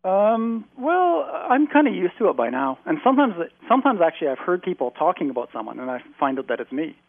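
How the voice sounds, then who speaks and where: A man from Canada, a guest on a phone interview, rambling in relaxed stories with plenty of fillers, heard over a tinny phone line. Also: sits high, fairly quick, no echo. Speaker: guest, long-term traveller.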